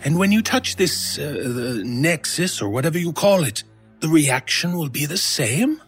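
Flustered skeptical shopkeeper, raspy, highish, very animated pitch, old-time radio horror, music behind. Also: male voice, a little slow. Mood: awed, uneasy. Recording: music under the voice.

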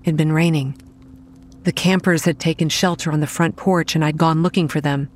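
A young woman playing a dazed, haunted campground manager, narrating in the first person in a horror audio drama in a measured, introspective way. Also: sits low, fairly even intonation. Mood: dazed, uneasy. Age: young adult.

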